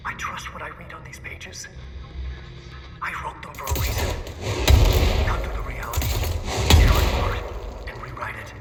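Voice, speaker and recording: An actor as a haunted male writer from a video game, hushed and intense in dramatic monologue. His voice hangs in long reverb over loud music. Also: fairly high pitch, moderate pace, pauses often, natural voice. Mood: brooding, determined. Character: brooding, haunted male writer fighting darkness. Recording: heavy hall echo, loud music.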